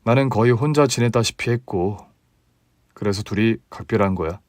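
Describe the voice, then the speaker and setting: A man voicing a meek young husband who speaks softly and apologetically in a lowered, quiet voice. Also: moderate pace, dry recording, studio quality, close to an everyday voice. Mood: subdued. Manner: soft, subdued, apologetic.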